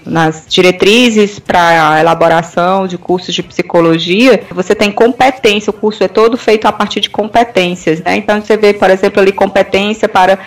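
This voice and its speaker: A woman's voice: medium pitch, steady tone, normal speed, few pauses, distant mic. A Brazilian woman in a casual podcast discussion, explaining things step by step and often checking in with a tag question. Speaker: podcast panelist.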